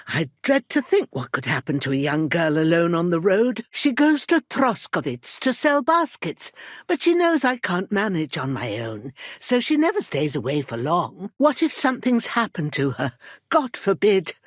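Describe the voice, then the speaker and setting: A woman's voice: fairly low pitch, wildly swooping pitch, moderate pace, no echo, clean studio sound. An actress playing a worried old village herbwoman in a video game, protective and proud, with a plain-spoken rustic manner. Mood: worried, pleading. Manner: rustic, plain-spoken, folksy turns of phrase. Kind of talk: scripted video game character dialogue.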